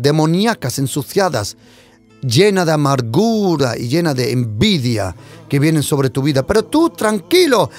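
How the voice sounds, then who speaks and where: Impassioned male preacher, lively pitch. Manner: impassioned, swings between loud declarations and hushed asides. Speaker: pastor. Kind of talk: preaching sermon.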